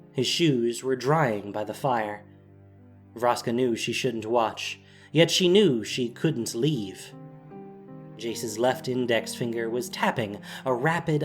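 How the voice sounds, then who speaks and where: Story narrator for an audio drama, scripted dramatic read, some music underneath. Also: medium pitch.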